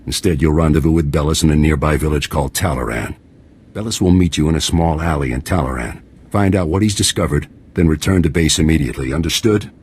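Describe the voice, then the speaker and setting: A man playing a composed military commander in a video game, measured, formal and authoritative. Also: extremely low pitch, a little mellow, husky. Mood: businesslike.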